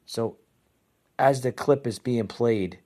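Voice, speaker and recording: An American man from New Jersey hosting a conservative podcast, giving unscripted, opinionated commentary in a halting, repetitive way and addressing listeners as folks. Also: medium pitch, darkish tone. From New Jersey, United States.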